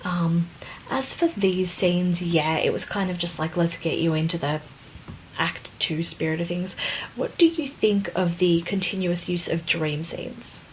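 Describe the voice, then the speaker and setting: A woman's voice: on the low side, measured pace, a little hiss or hum, low-quality recording. A woman co-hosting a casual podcast book discussion, thinking aloud hesitantly and circling back over her phrases. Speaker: podcast co-host.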